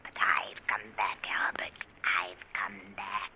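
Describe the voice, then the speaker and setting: An actor voicing a taunting ghost from the dead in a radio horror play, in a slow, menacing, breathy whisper. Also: male voice, lowish voice, little pitch movement. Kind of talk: 1940s radio horror acting. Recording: muffled, hissy old radio broadcast.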